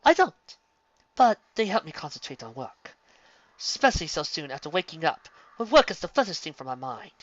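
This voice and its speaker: A stately, ancient alicorn princess who weighs every formal word, voiced by a man pitching his voice up, crisp and bright in tone. The recording is dry and dull, with a lo-fi edge. It sounds like a storybook reading done at home. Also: very animated, dry recording. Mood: weary. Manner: formal, measured royal diction.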